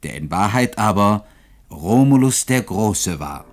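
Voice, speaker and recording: A man giving measured, formal scripted narration for a radio play. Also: on the low side, lively intonation, a little hiss or hum.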